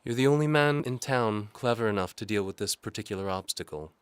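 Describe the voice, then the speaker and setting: A man's voice: fairly low pitch, brisk, clean tone, dry recording, pitched above the performer's own voice, lightly acted. A man voicing a cool, teasing, scheming noblewoman in an audio drama, with music behind him. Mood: cool, coaxing. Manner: teasing, coolly firm. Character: alluring, scheming noble lady with a cool temper.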